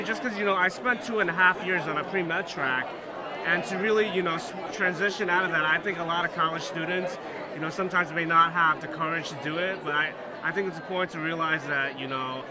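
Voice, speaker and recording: Earnest young man, loud crowd behind. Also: a little high. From Hong Kong, raised in New York. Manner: earnest, many "you know" fillers. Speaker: interviewee, graduate student. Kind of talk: casual interview. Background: crowd chatter.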